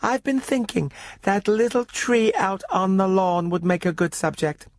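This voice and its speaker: A prim, elderly aunt in a radio drama, acted by a man, pitched high in a thin falsetto. She speaks with genteel, fussy precision and a snappish edge. Music runs underneath. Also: expressive, measured pace. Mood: chatty, fussy. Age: older. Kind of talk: radio story character voice.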